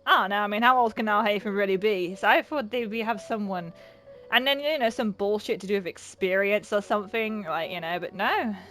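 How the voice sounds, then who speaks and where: A woman's voice: medium pitch, brisk, fairly bright tone, faint music behind. A woman giving casual, sarcastic, sweary video game commentary. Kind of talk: casual let's play commentary.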